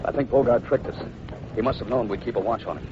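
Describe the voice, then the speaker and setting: An actor playing a brisk, shrewd, polite Mountie sergeant in an old-time radio drama. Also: male voice, mid-range voice, natural voice. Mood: businesslike. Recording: dull old radio recording, faint noise.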